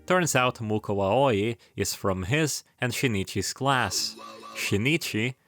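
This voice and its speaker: A man's voice: mid-range voice, animated, takes it slowly, a bit bright. Wry man narrating a story recap over music. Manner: wry, irreverent, slangy. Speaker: video essayist. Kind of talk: casual scripted video narration with joking asides.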